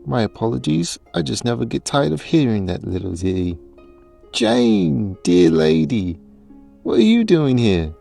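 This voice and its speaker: Princely old hound played by a man, grand and formal, slow creaky delivery, theatrical audio play. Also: mid-range voice, sing-song, warm tone, faint music behind, heavily acted. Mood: courtly. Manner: formal, theatrical, savouring words. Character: grand, courtly old male dog, princely and song-loving.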